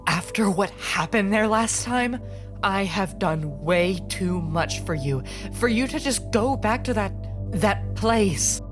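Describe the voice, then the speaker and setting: A woman's voice: medium pitch, lively intonation, slow pace. A woman playing a stern, controlling aunt, curt and admonishing, in a dramatic comic dub. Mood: upset, controlling. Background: music.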